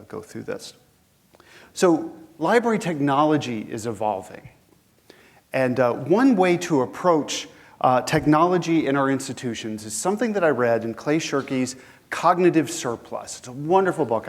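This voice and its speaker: Brief: male guest lecturer with a head cold, a bit sniffly, chatty and engaging, mid pitch. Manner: conversational, engaging the audience with questions. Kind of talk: conference lecture.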